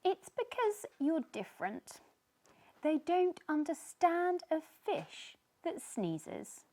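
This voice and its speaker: A woman's voice: very high voice, slow, voice pushed up, exaggerated voice. Woman playing a tiny, encouraging fish helper. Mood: reassuring. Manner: reassuring, expressive. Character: tiny, kind, encouraging fish friend full of plans.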